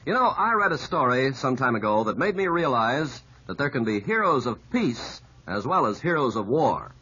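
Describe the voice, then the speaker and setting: A man's voice: mid-range voice, sing-song, normal speed, pushed and bright. A man announcing on 1950s radio, telling scripted items with polished, folksy delivery. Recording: muffled, husky-sounding old radio recording.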